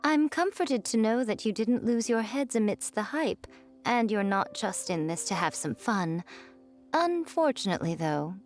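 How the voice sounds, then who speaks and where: An elegant, sly priestess played by a woman speaks in a lively, swooping lilt over background music. She is poised and theatrical, teasing like a video game schemer who enjoys every word. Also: medium pitch, unhurried, natural voice. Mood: coy, composed. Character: sly elegant fox-shrine priestess and novel editor, teasing.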